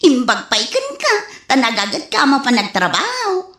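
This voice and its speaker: A sassy, envious neighbour woman in a radio comedy, with an Ilocano accent. The voice is high and bright, needling in big lively swings. The room sound is echoey. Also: normal speed, exaggerated voice. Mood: teasing, envious. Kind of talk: comedy radio drama acting.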